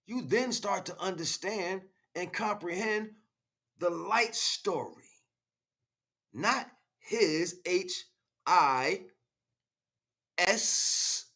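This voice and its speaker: An American man giving an emphatic, freestyle spiritual monologue in street slang, repeating phrases as he goes, with a husky voice. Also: high-pitched, bright, forceful tone. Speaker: spiritual livestream speaker.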